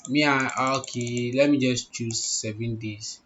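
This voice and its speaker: A man's voice: steady tone, a little slow, a bit bright. A young man gives a screen-recorded tutorial. He repeats points and keeps checking that the viewer follows along. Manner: repetitive, instructive, checks in with the listener. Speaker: online tutorial host. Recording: muffled low-fidelity audio.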